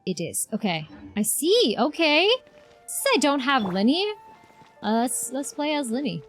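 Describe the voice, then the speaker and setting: Animated, excitable woman livestreamer. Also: high voice, measured pace, faint music behind. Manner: excitable, slangy. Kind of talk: casual gameplay reaction chat.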